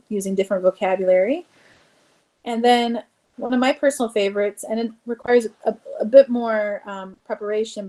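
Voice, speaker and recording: A woman's voice: mid-range voice, mellow, low-quality recording. A woman giving an online teacher training presentation on classroom activities, speaking casually with frequent fillers.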